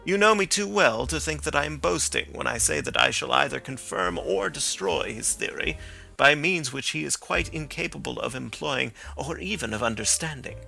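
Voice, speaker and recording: A man reading aloud as a sharp, confident middle-aged detective who lectures with precise, assured reasoning. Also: mid-range voice, very animated, moderate pace, gravelly, soft background music, full character voice.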